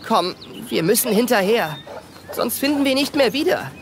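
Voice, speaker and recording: A woman playing an excitable, anxious young boy in a fairy-tale audio drama. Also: fairly high pitch, normal speed, a little hiss or hum. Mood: urgent. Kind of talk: German audio drama acting.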